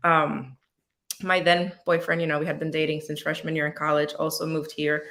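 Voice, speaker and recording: A woman's voice: low voice, flat delivery, normal speed, a bit bright. A woman in her fifties from Puerto Rico, a native Spanish speaker, chatting casually as an interview guest and animatedly acting out her own thoughts. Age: 50s. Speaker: guest, veteran teacher.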